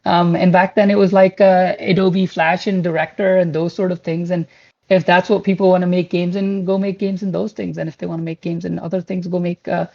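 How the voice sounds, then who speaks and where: A man's voice: sits high, level tone, fairly quick, creaky, darkish tone. A man in his forties whose first language is Pashto, speaking as a guest in a casual online talk. He is earnest and rambling, often restarting sentences and leaning on filler words. The call audio is muffled and lo-fi. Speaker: guest speaker, principal engineer.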